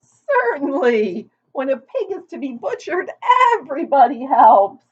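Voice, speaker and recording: A woman voicing an old female sheep who delivers bad news bluntly and loudly. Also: high voice, very animated, measured pace, pitched above the performer's own voice. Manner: loud, blunt, knowing. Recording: dull lo-fi recording.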